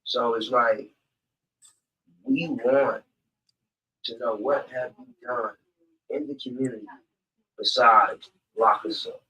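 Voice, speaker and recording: Laid-back man, bright voice. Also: mid-range voice, steady tone, takes it slowly, long pauses, recorded from a distance, poor audio. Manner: laid-back, affirming, fills with "you know what I mean". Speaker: co-host. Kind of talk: casual community talk.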